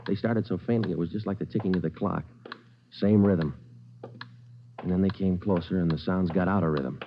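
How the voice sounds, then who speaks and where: An actor playing a hardboiled police sergeant in a clipped, matter-of-fact 1950s radio drama style. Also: male voice, deep voice, normal speed, slight background noise. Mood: grim, narrating. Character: terse hardboiled male police sergeant detective. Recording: muffled vintage radio recording, loud music.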